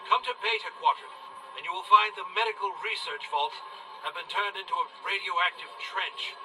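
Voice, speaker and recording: Actor as steadfast male resistance organizer, game broadcast; high-pitched, formal, deliberate, heard at a distance. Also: natural voice. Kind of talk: video game emergency broadcast message.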